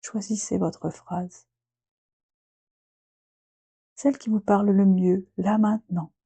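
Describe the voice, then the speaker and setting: A woman guiding a yoga nidra relaxation in a soft, breathy voice, speaking gently in short phrases with long, unhurried pauses. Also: mid-range voice, steady tone, no room sound. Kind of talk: guided relaxation meditation, slow and soothing.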